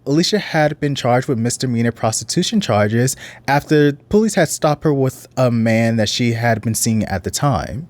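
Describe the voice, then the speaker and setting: Man, deep voice, casual commentary host, earnest, reflective, dry close-mic sound. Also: a little mellow, no room sound. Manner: earnest, reflective, sometimes reads out case details.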